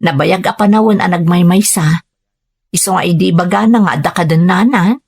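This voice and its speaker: A woman's voice: fairly low pitch, moderate pace, vocal fry, a little mellow, breathy edge. A woman with a Filipino accent playing a dutiful, patient young daughter working abroad in a radio drama, speaking in an earnest, conversational way. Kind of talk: Ilocano radio drama acting.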